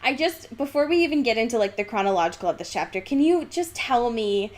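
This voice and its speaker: Woman podcast co-host, higher voice, casual and animated, swears freely, exaggerates drily. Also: quick pace. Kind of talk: casual banter, book discussion.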